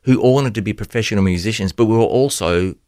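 An Australian man in his forties talks through his past in a casual interview, full of jokey asides. His voice sits a little low. The sound is dry and close. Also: fast talker, darkish tone, no room sound. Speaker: guest musician.

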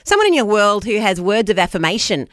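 A woman co-hosting breakfast radio, bubbly and quick to laugh. Her voice sits mid-range and is recorded close and dry in a studio. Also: mid-range voice, sing-song, no room sound. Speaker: radio show co-host. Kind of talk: casual breakfast radio chat. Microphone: studio microphone.

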